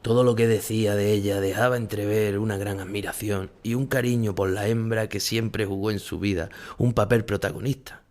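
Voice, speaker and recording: A man plays an ageing bullfighter from Spain in an audio drama, speaking in a fairly low voice with a Spanish accent. He tells his memories in literary first person, measured and solemn, with intimate melancholy. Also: fairly even intonation, darkish tone, lightly acted. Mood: wistful.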